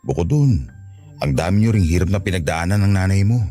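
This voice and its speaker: A man's voice: low voice, animated, a little fast, warm tone, soft background music. An actor playing a remorseful, loving Filipino father in an earnest radio drama.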